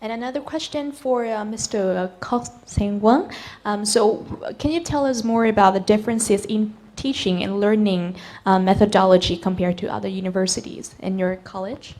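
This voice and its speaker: A woman's voice: mid-range voice, normal speed, a little mellow. A woman moderating a webinar Q&A, politely reading out questions on a video call. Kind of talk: hosting Q&A.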